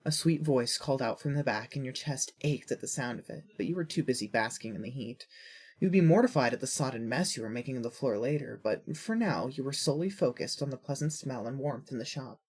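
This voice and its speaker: A woman with a husky voice reading scripted fiction as a narrator. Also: rumbling low, animated, brisk, darkish tone.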